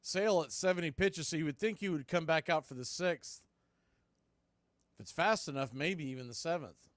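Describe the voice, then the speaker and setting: A man with a slightly high-pitched voice gives live baseball play-by-play as a radio announcer. He moves between clipped, punchy calls and conversational asides. The sound is very dry, with no room around the voice. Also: normal speed, fairly bright tone, no echo.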